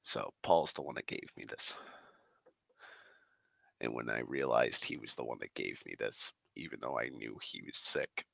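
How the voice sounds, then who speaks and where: Very deep gravelly man, slow drawl. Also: fairly bright tone, dry recording, clean studio sound. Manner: swears a lot, drawling, slow and sighing. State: recovering from flu, slightly congested. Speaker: streamer. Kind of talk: rambling casual monologue. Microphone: desk microphone.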